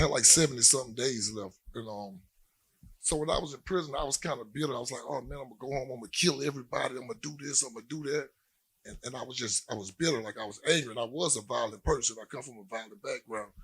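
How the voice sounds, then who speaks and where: Man, husky voice, halting plain testimony, panel talk. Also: mid-range voice, fairly bright tone. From Louisiana. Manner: plain-spoken, halting with many "um" pauses. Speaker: panel guest, former prisoner.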